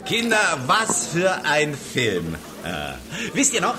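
Boisterous, high-voiced male party raconteur. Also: slow pace, over music, exaggerated voice. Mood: exuberant, nostalgic. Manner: theatrical, exuberant, mocking storyteller. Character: boisterous male film-world party guest telling old anecdotes. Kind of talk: radio drama acting. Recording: lively crowd chatter behind.

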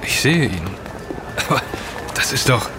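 An actor in a German audio drama playing a dry, determined computer hacker. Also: male voice, mid-range voice, sing-song, measured pace, over music. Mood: dry, composed. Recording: clear urban ambience behind.

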